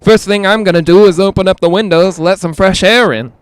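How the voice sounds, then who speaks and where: A man playing an excitable, skeptical reporter in a 1940s-style radio drama, hesitant and stammering when rattled. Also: high-pitched, fairly quick, clear, resonant tone, close to an everyday voice. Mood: casual. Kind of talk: 1940s radio drama acting, live on-the-scene commentary.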